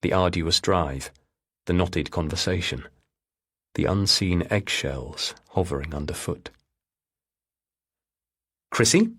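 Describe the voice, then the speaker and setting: A man narrating a thriller novel with a husky voice and measured, deliberate phrasing. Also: low voice, a bit bright. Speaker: audiobook narrator.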